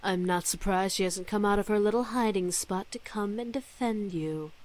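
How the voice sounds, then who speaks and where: A woman's voice: mid-range voice, normal speed, a little mellow, lightly acted. A woman playing a haughty, seductive demon villainess in a visual novel, with a mocking, scornful delivery. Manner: mocking, taunting.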